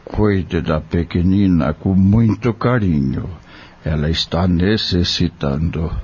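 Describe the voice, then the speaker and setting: Man acting a slow, deep-voiced, kindly country doctor. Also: husky, dull audio, heavily acted. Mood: gravely advising. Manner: solemn, measured, gently preaching. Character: kind, grave male country doctor, devout and fatherly. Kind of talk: audio drama acting.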